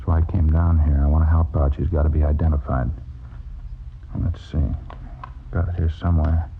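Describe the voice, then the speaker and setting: A man's voice: rumbling low, little pitch movement, moderate pace, gravelly. A man playing an eager, stubborn old circus hand in a radio drama, with folksy, rambling, slangy speech. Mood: insistent, eager. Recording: dull, faintly noisy vintage radio recording.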